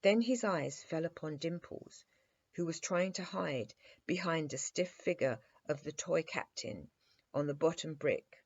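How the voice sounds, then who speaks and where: A woman's voice: low voice, unhurried, darkish tone, slightly rough. Woman reading a bedtime story, slightly muffled. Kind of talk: expressive bedtime story narration.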